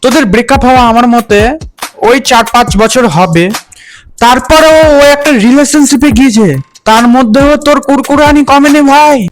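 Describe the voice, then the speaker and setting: A man's voice: very high voice, normal speed. A man delivering a mocking meme-review roast, full of crude slang and sarcastic teasing. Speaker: roast video host. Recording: music bed under voice.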